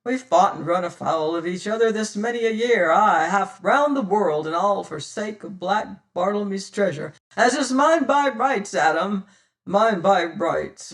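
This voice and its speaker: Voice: mid-range voice, fairly bright tone, recorded from a distance. A reader voicing a bold, cunning male pirate captain who talks hearty old-fashioned sea talk.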